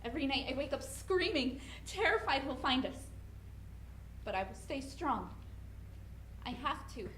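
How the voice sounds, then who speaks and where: Woman acting a high-voiced, resolute mother. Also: sing-song, measured pace, pauses often, fairly bright tone, heavily acted. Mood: wistful, resolute. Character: shaken but resolute young mother, disillusioned by her lover. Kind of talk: staged dramatic play reading. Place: indoor hall at a college event. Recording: echoey room, faint background noise.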